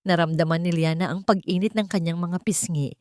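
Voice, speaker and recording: Female storyteller, low-ish and soft-toned, measured dramatic narration, close dry sound. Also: steady tone, no echo.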